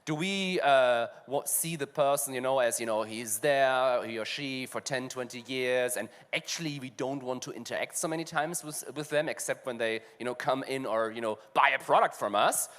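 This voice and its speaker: A man's voice: mid-range voice, moderate pace, few pauses, a bit bright. A man with a German accent, co-hosting a conversation on a conference stage, talking loosely with lots of "you know" fillers. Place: large conference hall stage. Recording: long reverb of a large hall.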